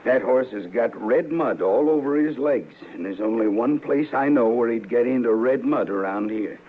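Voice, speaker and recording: A man's voice: medium pitch, lively intonation, normal speed, natural voice. Man as an eager, folksy frontier townsman. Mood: eager, informative. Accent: American rural Western. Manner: folksy, deferential. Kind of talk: old-time Western radio drama acting. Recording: tinny, noisy old radio recording.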